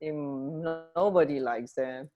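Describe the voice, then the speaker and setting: A woman's voice: a bass voice, takes it slowly, highs cut off, faint music behind. A woman co-hosting a radio show in casual, unscripted chat, feeling her way to words as she talks. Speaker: radio co-host.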